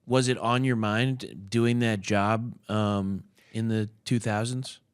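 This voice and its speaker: A man's voice: lowish voice, fairly even intonation, slow, clear, resonant tone, no room sound, clean studio sound. A man interviewing casually, phrasing his questions carefully and a little tentatively. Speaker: podcast interviewer.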